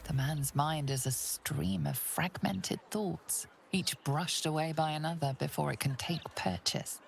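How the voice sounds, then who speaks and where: An actor playing a measured, mysterious male narrator of a fantasy video game. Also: a little high, vocal fry, a little hiss or hum. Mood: ominous. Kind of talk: scripted second-person game narration.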